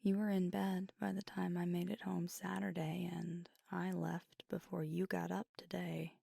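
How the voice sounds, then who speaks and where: A woman playing a proud, fiery but weary werewolf girlfriend in an intimate ASMR roleplay, with a hushed, breathy, halting delivery that often slips into a whisper. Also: fairly low pitch, flat delivery, no echo, full character voice. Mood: relieved, tired.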